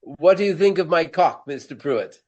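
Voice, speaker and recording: An actor playing a vain, elderly Regency stage actor with a pompous, florid, courtly manner in a radio play. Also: male voice, a little high, expressive, normal speed, darkish tone. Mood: eager, proud.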